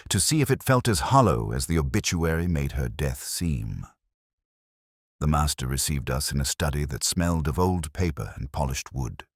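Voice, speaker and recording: A man playing a very deep-voiced, brooding detective. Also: husky, no echo, studio quality, exaggerated voice. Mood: uneasy, reflective. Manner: measured, reflective, literary. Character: melancholy, cerebral, brooding male English police detective. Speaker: narrator. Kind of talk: first-person scripted mystery narration.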